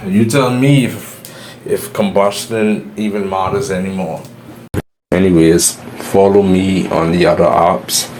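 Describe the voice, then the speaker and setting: A man's voice: low voice, fairly even intonation, measured pace, darkish tone, slight background noise. A man with a Bahamian accent, giving his own views in a solo video monologue, explaining with some restarts. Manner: opinionated, explanatory, a few restarts. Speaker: astrology YouTuber. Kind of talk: solo opinion monologue to camera.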